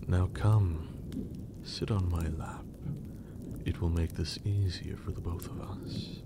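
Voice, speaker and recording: A man playing a teasing, possessive vampire master in a breathy, formal, seductive ASMR roleplay. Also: fairly even intonation, heavy background noise. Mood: coaxing. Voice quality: breathy, low, creaky. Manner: slow, deliberate, formal, seductive.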